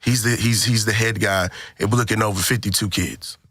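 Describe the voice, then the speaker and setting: A man's voice: on the low side, steady tone, fairly quick, a bit bright, dry recording, studio quality. An American man co-hosting a podcast, debating sports casually in an opinionated, profane way and repeating phrases for emphasis. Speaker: podcast co-host.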